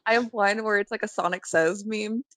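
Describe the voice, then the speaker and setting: A woman guest chatting casually on a podcast about cartoons and memes, enthusiastic and a bit halting. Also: mid-range voice, steady tone, a bit bright, dry recording.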